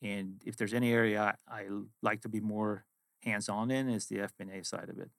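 Swedish guy in his middle years, english not his first language, thoughtful interview chat, lowish voice, dry close sound. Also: fairly even intonation, brisk, fairly bright tone, no room sound. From Sweden. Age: middle-aged. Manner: reflective, storytelling. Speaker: guest, finance executive.

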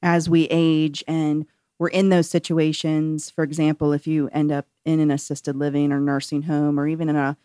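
A woman's voice: sits low, level tone, normal speed, mellow, dry recording. A woman hosting a radio interview show in a studio, friendly and conversational.

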